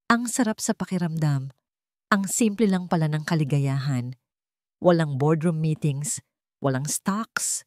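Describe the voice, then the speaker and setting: Casting: female romance storyteller, lowish voice with animated range, sentimental dramatic delivery, dry studio sound. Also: dry recording. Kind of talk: dramatic first-person romance story narration.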